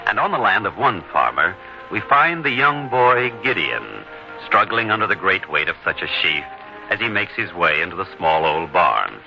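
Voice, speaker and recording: A low-voiced man announces and narrates with grand, sweeping intonation. His old-time radio delivery is solemn and deliberate, full of dramatic pauses. Music plays behind him on a dull recording. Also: sing-song, vocal fry.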